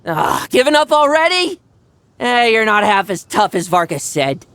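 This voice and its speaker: A woman's voice: mid-range voice, sing-song, moderate pace, heavy background noise. A woman voicing a brash, cheerful, battle-hungry young knight in a video game, with a bold, boisterous manner. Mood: boisterous, taunting. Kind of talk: video game character dialogue.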